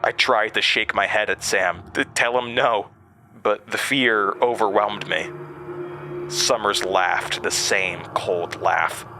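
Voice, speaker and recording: A man playing a haunted narrator in a horror audio drama, recalling his past gravely with dramatic pauses. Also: fairly low pitch, music in the background. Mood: dread. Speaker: narrator and protagonist.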